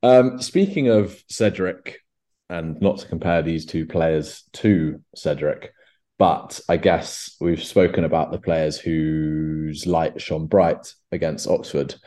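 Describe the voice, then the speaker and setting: A man's voice: rumbling low, unhurried, mellow. A young man hosting a casual football podcast, talking in long rambling stretches with many restarts and fillers. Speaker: podcast host.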